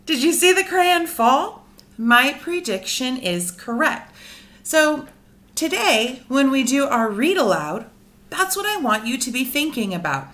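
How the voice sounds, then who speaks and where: A woman with a high, very lively voice teaches young children in a read-aloud lesson. She speaks slowly and animatedly, explaining things simply and asking questions. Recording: roomy, echoing indoor sound.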